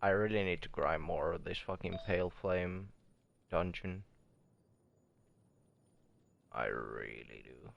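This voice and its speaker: A man's voice: sits low, slow, creaky, pauses often, muffled recording, a little hiss or hum. A man chatting casually as he streams a game, muttering in a laid-back way and swearing often. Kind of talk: casual gameplay stream chat.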